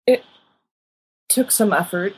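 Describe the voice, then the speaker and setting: A woman's voice: medium pitch, slow pace, darkish tone, husky, rough recording. A woman voicing an aggrieved, bitter teenage schoolboy wizard in an audiobook, indignant and muttering. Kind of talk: audiobook character reading.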